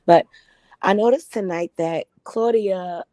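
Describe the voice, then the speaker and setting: A hoarse woman calling in to a livestream from her phone, chatty and gossipy. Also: fairly low pitch, slow pace, warm tone, no room sound. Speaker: caller on a livestream. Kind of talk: casual chat.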